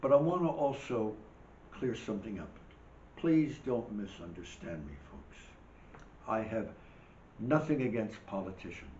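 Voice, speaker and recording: An older man delivers a rambling host monologue with emphatic, wry asides. His voice sits low and is wearing thin. The recording is dull and roomy, with a faint hiss underneath. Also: lively intonation, measured pace, warm tone. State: losing his voice. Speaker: show host. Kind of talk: monologue commentary. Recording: dull echoey room recording.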